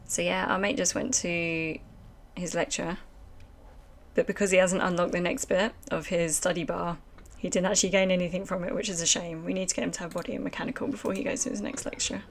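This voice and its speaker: A woman's voice: lowish voice, fairly even intonation, brisk, gravelly. A woman streaming a game live, chatting casually and rambling with lots of fillers at a desk microphone. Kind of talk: casual let's-play commentary chatting with chat.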